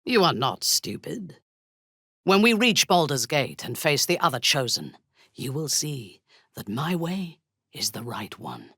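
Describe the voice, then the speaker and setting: A woman playing an imperious, ruthless dark elf warrior in a fantasy game, haughty and menacing, with a husky, breathy voice and measured delivery. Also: deep voice, big pitch swings, no room sound, studio quality, full character voice. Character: imperious, ruthless female drow warrior and cult commander. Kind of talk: dramatic fantasy game acting.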